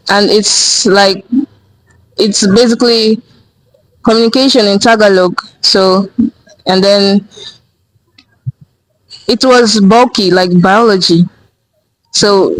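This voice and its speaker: A teenage high school student from Nigeria answers interview questions. The student often restarts a sentence before settling into a steady explanation. The voice has a middle pitch and sounds dry, with no room echo. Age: teens. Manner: thoughtful, halting starts, then steady explaining.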